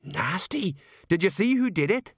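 A man's voice: high voice, expressive, unhurried, breathy edge, no echo, clean studio sound, voice pushed up, exaggerated voice. A man voicing a plodding middle-aged male sergeant who fusses and gossips. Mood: fussing.

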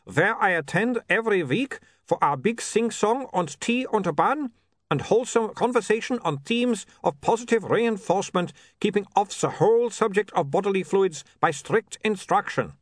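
Guy doing a high loud formal vampire guy, foreign accent, prim and eager, dry sound. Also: expressive, normal speed, dry recording. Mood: proud. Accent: Uberwald. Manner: formal stiff phrasing, earnest. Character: eager prim male vampire photographer, young.

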